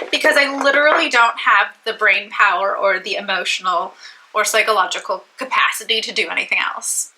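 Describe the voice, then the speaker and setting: A woman's voice: on the high side, background noise. A young woman vlogging about beauty products in a casual, rambling, self-deprecating way, sounding stuffy and congested. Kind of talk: casual chat to camera.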